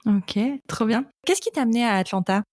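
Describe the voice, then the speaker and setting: A woman's voice: fairly high pitch, lively intonation, fairly quick, darkish tone, no echo, clean studio sound. A woman hosting a podcast, speaking informally and directly in an interview show style. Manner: informal, addresses listener and guest familiarly. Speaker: podcast host. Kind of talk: podcast introduction and conversational interview.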